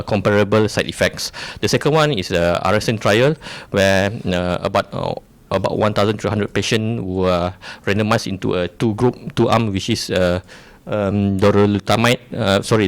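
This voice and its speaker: Hesitant low-voiced man from Malaysia. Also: gravelly, no echo. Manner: hesitant, many fillers and restarts. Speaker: urology resident debater. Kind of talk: debate opening statement.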